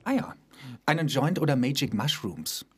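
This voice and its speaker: A man hosting a podcast in a relaxed, joking manner, interviewing a colleague. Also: mid-range voice, moderate pace, husky. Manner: playful, lively, jokes with the guest. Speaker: podcast host, actor and author at a children's theater.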